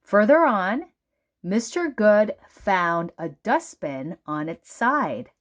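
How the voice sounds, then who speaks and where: Very slow, expressive woman reading a storybook. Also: medium pitch. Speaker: children's book reader. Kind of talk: storybook read-aloud narration.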